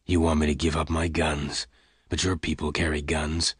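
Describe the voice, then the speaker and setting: A man voicing a calm, gruff male gunman who speaks low and quietly. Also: normal speed, no room sound, studio quality, exaggerated voice. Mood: quiet, hard.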